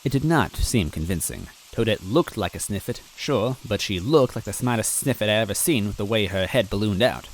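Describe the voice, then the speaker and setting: A man narrating a story in first person in a wry, measured way. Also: on the low side, expressive, a little hiss or hum, low-quality recording. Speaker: story reader.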